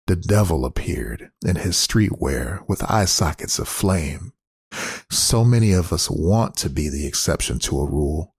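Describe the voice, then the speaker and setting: A man with a low, rough-edged voice reads poetry aloud. He is measured and deliberate, and the recording is very dry and close. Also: darkish tone, dry recording. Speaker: poetry reader. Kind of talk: literary poetry reading.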